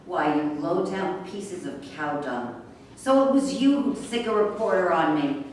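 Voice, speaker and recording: A woman's voice: fairly low pitch, animated, a little slow, darkish tone. A woman reading the part of a stubborn, defiant young woman in a staged play. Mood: defiant, angry. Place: echoey room at a live staged reading. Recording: echoey room, far mic, faint noise.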